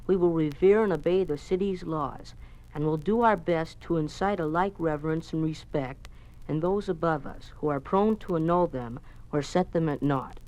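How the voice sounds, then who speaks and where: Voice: medium pitch, darkish tone, close to an everyday voice, poor audio. An actor with an American accent playing an earnest, naive young schoolboy in a 1950s radio drama. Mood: solemn reciting. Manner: eager, naive, polite. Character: earnest, eager young schoolboy, a Boy Scout and obedient son.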